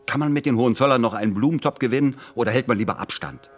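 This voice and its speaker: An actor playing an impatient, demanding lawyer in a naturalistic radio play, insistent and exasperated, with a husky voice. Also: male voice, mid-range voice, rapid-fire, soft background music, lightly acted. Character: impatient, demanding male lawyer commissioning research. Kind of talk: naturalistic German radio drama dialogue.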